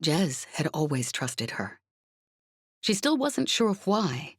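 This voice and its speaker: A woman's voice: low-pitched, very animated, takes it slowly, breathy edge, no echo, studio quality. A woman reading a scripted novel aloud as an audiobook narrator.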